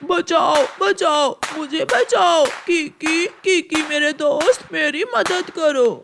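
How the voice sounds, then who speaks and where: Woman as a high-voiced mischievous young bird boy. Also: slow, vocal fry, noisy background, heavily acted. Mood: panicked. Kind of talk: Hindi moral-story cartoon dialogue.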